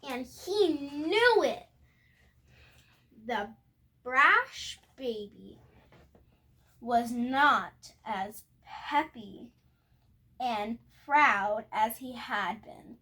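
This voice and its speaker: Little girl reading a picture book out loud, high bright voice, takes her time. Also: animated, very slow, pauses often, poor audio. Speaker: storybook reader. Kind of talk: reading a picture book aloud with chatty asides.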